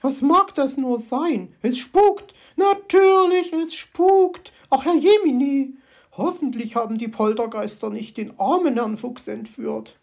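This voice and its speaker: A woman voicing an excitable, fussy female magpie in a storybook, with shrill, agitated exclamations and a creaky, husky voice. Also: moderate pace, few pauses. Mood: panicked. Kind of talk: storybook character voice.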